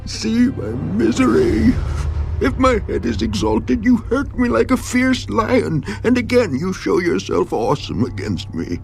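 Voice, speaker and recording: An actor playing an anguished, despairing man who pleads bitterly with God, theatrical in a dramatised scripture reading, swinging from hushed tones to crying out. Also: high-pitched, gravelly, exaggerated voice. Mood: anguished outcry.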